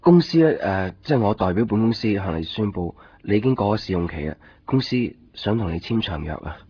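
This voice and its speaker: 低沉嘅香港男聲演員，溫和講故事. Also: male voice, fairly low pitch, expressive, fairly quick, dark tone, close to an everyday voice. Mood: caring. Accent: Hong Kong. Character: mild, easygoing young male shopkeeper telling his story. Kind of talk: radio drama narration and dialogue. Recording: dull 1990s radio drama recording. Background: prominent background music.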